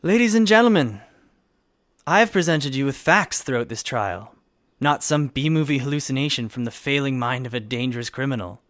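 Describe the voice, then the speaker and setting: An actor playing an exasperated, sarcastic hotshot prosecutor in a courtroom comedy, with a sardonic, theatrical way of talking. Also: male voice, medium pitch, animated, normal speed, fairly bright tone, no echo, studio quality, lightly acted. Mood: mocking, heated. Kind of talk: scripted comedy courtroom acting.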